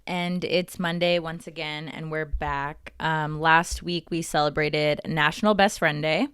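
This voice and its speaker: A young woman co-hosts a casual podcast, her voice low, smooth and resonant. She talks loudly and easily, chatty and full of swearing. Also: steady tone, a little slow. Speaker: podcast co-host. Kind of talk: casual chat.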